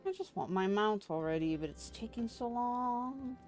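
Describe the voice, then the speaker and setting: A woman's voice: a little high, expressive, moderate pace, a little mellow. A woman live streamer chatting casually and playfully while she plays a game. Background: game music.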